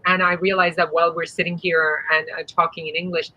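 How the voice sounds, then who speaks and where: Panel guest: woman from Iran, Persian native speaker, lowish pitch, punchy and expressive, video-call audio. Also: fairly quick, low-quality recording. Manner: expressive, sassy, earnest. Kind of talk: candid panel conversation. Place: home room.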